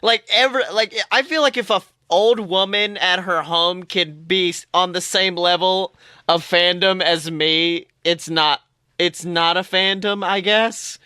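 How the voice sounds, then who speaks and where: A young adult podcast co-host chatting casually about fandom in an animated, exaggerated and joking way. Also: mid-range voice, a little slow, clear, resonant tone, dry recording, clean studio sound. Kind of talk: casual fan podcast chat.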